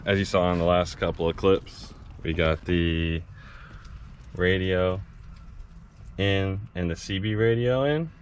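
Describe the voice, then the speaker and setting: A man's voice: low voice, takes it slowly, long pauses. A man casually chatting and narrating a van build for his vlog. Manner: relaxed, chatty, mutters while working. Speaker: vlog host. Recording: noisy background.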